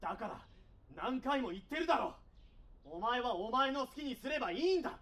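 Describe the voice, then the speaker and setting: A man playing a gruff, blunt, self-critical young male idol in an anime-style voice drama, curt and a little awkward. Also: very high-pitched, big pitch swings, fairly quick, fairly bright tone, full character voice. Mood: firm.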